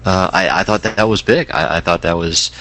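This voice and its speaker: A man's voice: deep voice, brisk, sharp tone, slight background noise. A man with a congested, nasal voice co-hosting a tech podcast, talking with many stutters and restarts. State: stuffy, congested. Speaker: podcast co-host. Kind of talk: casual tech podcast chat.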